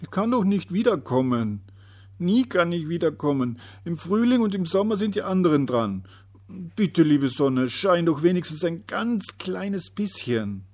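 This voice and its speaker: A man's voice: a little high, very animated, a little slow, a little mellow, voice pushed up, heavily acted. A man voicing a small, eager young male day spirit in a storybook reading, calling out and pleading.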